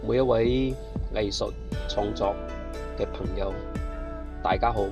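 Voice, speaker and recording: A man from Malaysia reads slowly and deliberately, his low voice gravelly. The recording is roomy and echoey, with instrumental music playing clearly behind him. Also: fairly even intonation. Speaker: art teacher and clay artist. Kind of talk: prepared reading.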